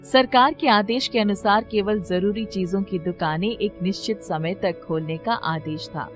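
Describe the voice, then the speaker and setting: A woman's voice: mid-range voice, unhurried, soft background music. A woman storyteller reading a scripted children's story in narration style.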